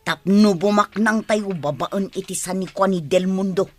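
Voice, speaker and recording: A woman's voice: mid-range voice, normal speed, a little mellow, faint music behind, lightly acted. A woman playing a scheming, money-hungry younger sister in a radio drama, persuasive and insistent. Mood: sly, determined. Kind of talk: Ilocano radio drama acting.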